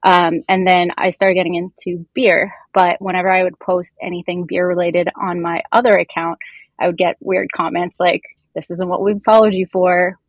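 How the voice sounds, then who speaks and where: A woman's voice: fairly low pitch, flat delivery, moderate pace, no echo, muffled recording. Chatty woman podcast guest, native turkish speaker, informal, dry sound with no room on it. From immigrant raised in Canada and the US. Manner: talkative, informal. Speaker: podcast guest, beer content creator. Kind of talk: casual chatty interview.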